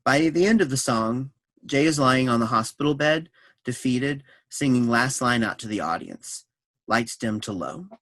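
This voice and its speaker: A man's voice: mid-range voice, animated, normal speed, fairly bright tone, dry recording. Man reading stage directions, very dry sound. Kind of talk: scripted narration of stage directions.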